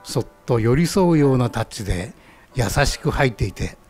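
A man's voice: medium pitch, expressive, moderate pace, a little mellow, faint music behind, natural voice. A man playing a genial ramen stall owner in a scripted radio skit, explaining things politely. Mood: friendly, informative. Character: genial male ramen stall owner who knows his music.

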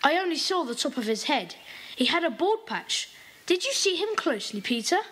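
An eager, high young boy. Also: big pitch swings, moderate pace, fairly bright tone. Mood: earnest.

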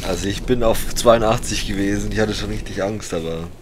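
A man's voice: fairly low pitch, steady tone, brisk. A young man under the weather chatting casually and teasingly while streaming a game, laughing often. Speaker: let's play host. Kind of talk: casual gaming chat. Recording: noisy game audio behind.

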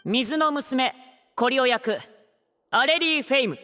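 A man's voice: very high-pitched, unhurried, pushed and bright, faint music behind, heavily acted. A man voicing a formal young stage announcer in a game, polite and presentational, in a reverberant hall. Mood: formal, proud.

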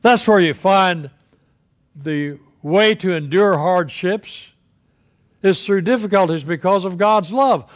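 An elderly male pastor delivers a sermon from the pulpit of a church sanctuary, with a slightly high voice. He speaks very slowly and deliberately, leaning on pauses for emphasis. The sound comes from the pulpit microphone. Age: older.

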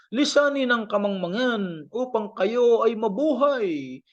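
A Filipino man reading scripture from a script as an audio Bible, in a measured, declamatory way. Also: high voice, dull audio.

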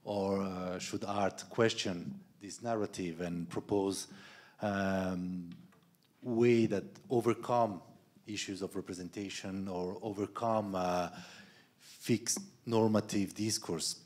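Lower-voiced man moderating a panel. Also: steady tone, slow pace, a little mellow. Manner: reflective, posing broad questions. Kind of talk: panel discussion. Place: event stage with panel tables, indoors. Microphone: handheld mic.

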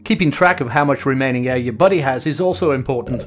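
Middle-aged guy talking through scuba hand signals, calm and clear, quiet music under it. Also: mid-range voice, quick pace. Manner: calm explanatory, acts out signals as quoted lines. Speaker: host. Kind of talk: scripted voice-over instruction.

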